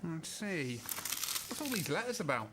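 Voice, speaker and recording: A man's voice: fairly high pitch, sing-song, moderate pace, background noise. A man acting as a blunt, inquisitive police detective in an amateur radio drama, speaking in short, direct questions.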